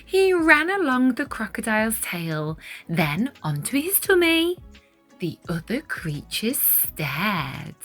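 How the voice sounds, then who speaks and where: A woman reading a children's picture book aloud in an expressive, deliberate storytelling style with dramatic stress on key words. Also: fairly high pitch, faint music behind.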